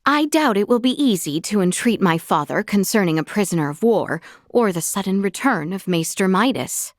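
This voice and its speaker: Actress as a young princess, earnest, gracious, clear voice, formal courtly speech, fantasy video game, dry close studio sound. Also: female voice, medium pitch, lively intonation, no echo, close to an everyday voice. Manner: formal, archaic courtly phrasing, measured and polite. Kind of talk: scripted video game voice acting. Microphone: close studio mic, dry.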